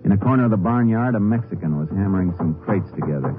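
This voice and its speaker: An actor playing a calm, firm Texas Ranger lawman in a 1950s radio western drama. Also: deep voice, quick pace, music in the background. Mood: matter-of-fact. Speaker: lead investigator and narrator. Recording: tinny 1950s radio recording.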